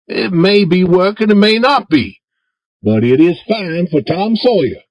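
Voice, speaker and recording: A man's voice: high voice, animated, unhurried, dry recording, pitched above the performer's own voice. A crafty young boy talks in a raised, sing-song voice full of wheedling charm, as a man reading the story puts him on. The sound is close and dry, with no room around it. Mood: sly. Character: clever, coaxing young boy Tom.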